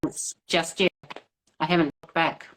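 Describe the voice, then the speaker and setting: A woman's voice: deep voice, little pitch movement, normal speed, a bit bright, low-quality recording. A woman in her thirties from New Zealand with a New Zealand accent, a guest on a video call interview, talking casually with lots of fillers and easy laughs. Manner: hesitant, many fillers, laughs easily.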